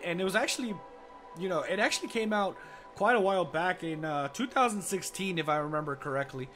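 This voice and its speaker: A young man with a sore throat and a partly lost voice, chatting casually as he plays a game and reacting with awe. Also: on the high side. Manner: awed, chatty, easily amazed. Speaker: gaming YouTuber. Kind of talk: casual let's play commentary. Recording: gameplay music underneath. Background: calm game music.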